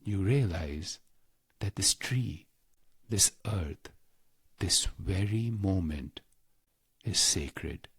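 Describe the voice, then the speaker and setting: A man leading a scripted spiritual guided meditation in a calm, measured, reverent manner with deliberate pauses. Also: sits low, breathy edge, no echo, studio quality.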